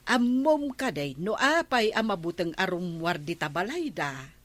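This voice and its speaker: An actress playing a confiding, self-assured village gossip in an Ilocano radio comedy drama, with an Ilocano accent. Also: female voice, fairly low pitch, big pitch swings, unhurried, a bit bright, exaggerated voice. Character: gossiping village woman, confiding and sure of herself.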